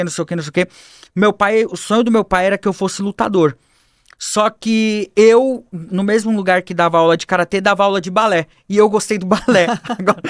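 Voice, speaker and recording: A man telling his life story as a podcast guest, animated and acting out the people he quotes, on a studio mic. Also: high voice, smooth, no room sound. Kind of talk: personal storytelling in a podcast interview.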